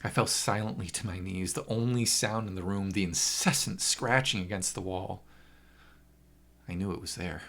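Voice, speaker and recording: A man's voice: low-pitched, moderate pace, gravelly, a bit bright. A man narrating a first-person horror story with an intense, theatrical delivery.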